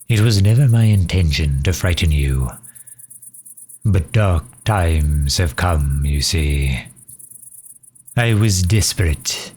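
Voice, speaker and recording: A man acting a very deep, slow, weary vampire. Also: a little mellow, slightly rough, exaggerated voice. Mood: grave, hesitant. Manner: formal, measured, pleading, archaic wording. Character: courteous, weary male vampire refugee leader. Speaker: voice actor in audio roleplay. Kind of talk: scripted ASMR roleplay acting.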